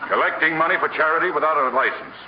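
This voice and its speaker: An actor playing a stern, unbending judge who pronounces formally, in 1950s radio comedy style. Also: male voice, fairly high pitch, fast talker, close to an everyday voice. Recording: dull old radio, faint noise.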